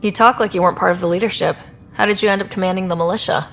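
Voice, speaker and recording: An actress playing a brisk female expedition leader in a sci-fi game. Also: mid-range voice, steady tone, a bit bright, slight background noise, lightly acted.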